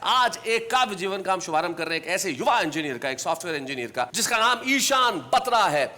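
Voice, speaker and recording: Bright, declaiming male show host. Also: sits high, animated, quick pace. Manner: rhyming, declamatory show announcements. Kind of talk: hosting a comedy poetry show.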